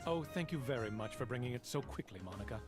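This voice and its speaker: A man's voice: mid-range voice, lively intonation, quick pace, close to an everyday voice. A man playing a courteous, appreciative tavern master in an anime game, with a polite, encouraging manner. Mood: welcoming. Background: game music.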